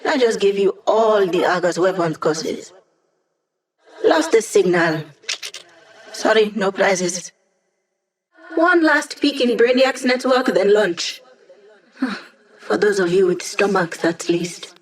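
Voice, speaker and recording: A woman's voice: medium pitch, animated, takes it slowly, long pauses, low-quality recording. Game role: young female tech expert, snarky, playful, upbeat, conversational delivery with a teasing edge. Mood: wry, teasing. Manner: wry, casual, playful teasing. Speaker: video game support character. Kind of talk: video game voice acting.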